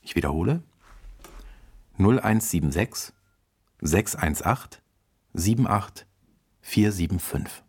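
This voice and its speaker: A man's voice: low voice, expressive, slow, long pauses, a little mellow, a little hiss or hum. A man speaking as a host, addressing listeners formally and politely like a radio presenter. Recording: music behind the voice.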